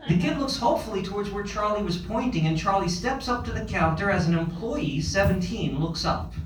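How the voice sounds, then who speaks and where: A man's voice: sits high, expressive, brisk. A man narrating a screenplay's scene directions at a table read. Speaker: stage-direction reader. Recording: roomy, distant, faint ambient noise.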